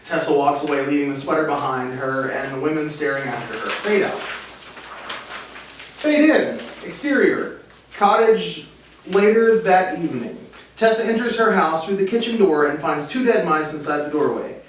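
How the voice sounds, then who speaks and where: A man's voice: fairly high pitch, lively intonation, normal speed. Echoey male stage-direction reader. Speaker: narrator reading stage directions. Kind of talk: scripted narration at a table read. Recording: very echoey room, distant mic, faint hiss.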